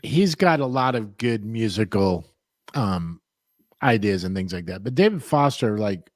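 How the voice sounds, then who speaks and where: A man's voice: on the low side, unhurried, dry recording. Chatty man with a dry close sound. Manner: animated storyteller, peppers talk with "man" and "you know". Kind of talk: casual chat.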